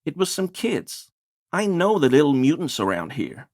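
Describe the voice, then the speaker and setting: Game role: fussy, defensive male cryptid obsessive; pedantic and wordy, lively swooping intonation, close dry sound. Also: a little high, normal speed, no echo. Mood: grumbling, impatient. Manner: pedantic, wordy, evasive, prone to grumbling. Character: fussy defensive man, amateur cryptid enthusiast and conspiracy believer. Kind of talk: video game character dialogue.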